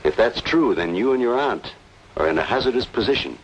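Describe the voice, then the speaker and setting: An actor playing a grave, formal doctor, deliberate and insistent, in an old-time radio noir drama. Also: male voice, medium pitch, expressive, slightly rough. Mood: grave, cautioning. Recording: dull, noisy old radio recording.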